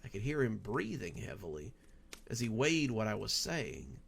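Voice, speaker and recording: A man's voice: lowish voice, very animated, normal speed, creaky, fairly bright tone, slight background noise. A man reading a first-person horror story as a scripted audiobook narration.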